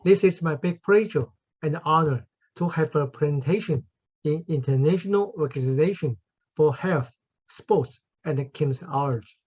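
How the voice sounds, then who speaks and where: A man's voice: on the high side, a little mellow. Taiwanese-accented male professor presenting. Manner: careful, deliberate, with non-native grammar slips. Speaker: invited conference speaker, professor. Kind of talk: formal academic presentation. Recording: muffled, husky lo-fi audio.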